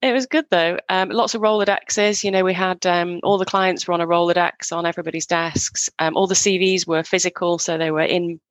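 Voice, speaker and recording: A woman's voice: on the low side, fairly even intonation, fairly quick, few pauses, no echo. A middle-aged woman from northwest England, a guest telling her story in a frank, chatty way and laughing easily. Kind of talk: casual interview chat.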